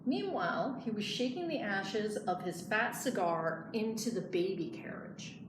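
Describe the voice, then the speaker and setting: A woman librarian reading a picture book aloud in an expressive storytelling style. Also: mid-range voice, unhurried. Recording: echoey room, clear background noise.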